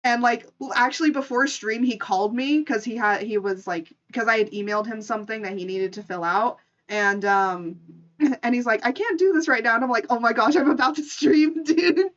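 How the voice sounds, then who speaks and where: A woman's voice: on the high side, moderate pace, muffled recording. A woman streamer casually telling an improvised story and chatting with her live audience in an informal, chatty way. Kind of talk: casual livestream storytelling and chat.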